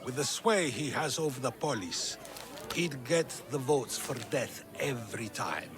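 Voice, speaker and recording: An actor playing a wise, wry ancient philosopher in a video game, with a measured, questioning, rhetorical delivery. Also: male voice, mid-range voice, lively intonation, crowd noise, natural voice. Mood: earnest. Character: Socrates, wise wry ancient Greek philosopher, persuasive orator. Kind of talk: theatrical video game dialogue. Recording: music behind the voice.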